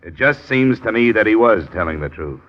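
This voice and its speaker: Guy doing hard-boiled american investigator, really low voice, terse, muffled old radio detective show. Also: expressive, brisk, natural voice. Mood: insistent. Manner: terse, matter-of-fact. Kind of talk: old-time radio detective drama with first-person narration. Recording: muffled vintage radio recording.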